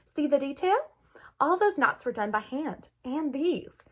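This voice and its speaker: A woman voicing a warm, nostalgic father in a storybook, exclaiming gently and wistfully. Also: sits high, lively intonation, a little slow, pitched above the performer's own voice. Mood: fondly nostalgic.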